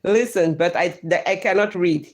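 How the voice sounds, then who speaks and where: A Cameroonian-accented woman whose first language is Bakweri, hosting a live stream, reading out viewer comments in a lively way with frequent laughs and pidgin phrases. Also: fairly low pitch, normal speed. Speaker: livestream host.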